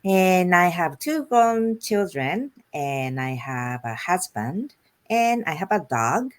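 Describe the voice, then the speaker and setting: Low-voiced woman from Japan. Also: very animated, slow. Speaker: guest business owner. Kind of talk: casual chat.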